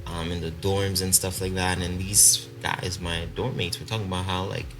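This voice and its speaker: A man's voice: low voice, normal speed, a little hiss or hum. A young man from Congo with a South African accent, a podcast guest telling his life story in a loose, laughing way full of fillers. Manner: animated, laughing, many fillers. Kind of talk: casual storytelling interview.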